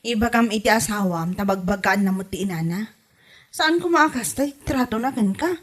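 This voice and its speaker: A woman's voice: medium pitch, expressive, moderate pace, lightly acted. A woman playing a nosy, sympathetic friend in a comedy drama, questioning gossipily with a northern Philippine accent. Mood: sympathetic, prying. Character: nosy, sympathetic female friend of a young wife. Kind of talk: comedy radio drama acting.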